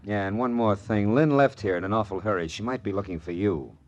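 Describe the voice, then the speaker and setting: Man acting a low-voiced, tough radio private eye. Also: a little fast, highs cut off, natural voice. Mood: brusque, pressing. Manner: clipped, commanding, wisecracking. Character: tough, curt, sardonic male private detective. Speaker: detective and narrator. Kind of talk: 1940s hardboiled radio drama acting.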